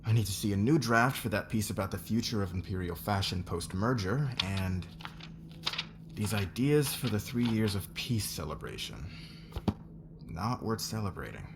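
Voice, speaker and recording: A man's voice: fairly low pitch, animated, moderate pace, vocal fry. A man playing a curt, sarcastic, demanding fashion magazine boss in a scripted audio roleplay. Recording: noisy background ambience.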